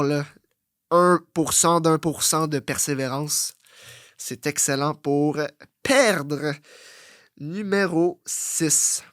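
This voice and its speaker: A young man with a Québécois French accent, hosting a blunt, profane motivational podcast that swings from loud outbursts to low muttering. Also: dry recording, clean studio sound. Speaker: fitness coach and podcast host.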